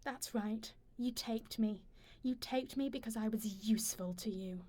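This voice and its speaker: An actress as a wronged older wife in a radio drama. Her voice sits a little high. She speaks with measured dignity, probing and accusing, with bitterness underneath. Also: darkish tone. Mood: wounded, bitter. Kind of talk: radio play dialogue.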